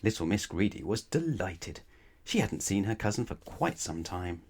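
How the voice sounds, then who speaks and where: Low-voiced man reading a children's story. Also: very animated, a little mellow, slightly rough. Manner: playful, emphatic, drawn-out key words, rhetorical questions to the listener. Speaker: storybook reader. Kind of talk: animated children's bedtime story read-aloud.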